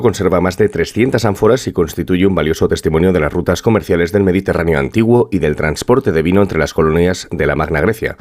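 A man reading a scripted news report in an even, formal tone. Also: low voice. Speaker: news reader.

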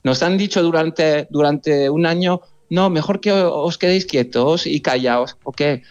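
Halting man on dull remote line. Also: fairly high pitch. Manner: halting, repetitive, earnest and indignant. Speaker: interview guest, sports journalist. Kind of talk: spontaneous radio interview. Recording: dull, band-limited remote call audio.

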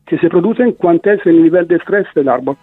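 A man's voice: fairly high pitch, brisk, breathy edge, no echo, soft background music. A man with an Italian accent, a guest scientist hesitantly explaining research in a radio interview, searching for words. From Italy. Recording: tinny phone-like line.

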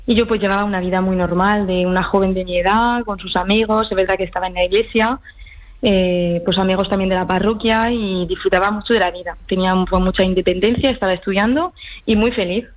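A woman from Paris answering interview questions conversationally, unhurried, with hesitations and repeated words. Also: medium pitch, steady tone, poor audio. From Paris, France. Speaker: interview guest, cloistered nun.

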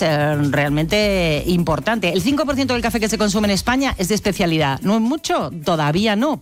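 Casting: middle-aged female radio reporter, Spain accent, lowish voice, chatty delivery, faint music underneath. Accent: Spanish, from Spain.

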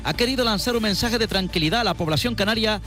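A man's voice: high voice, quick pace, fairly bright tone, faint music behind. A man reading a news report in a formal style.

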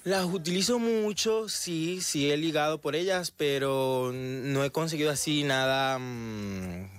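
A man's voice: fairly high pitch, unhurried, smooth. A man, a radio guest who answers hesitantly with many fillers. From Venezuela and Colombia. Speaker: guest, model and content creator. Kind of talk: radio interview.

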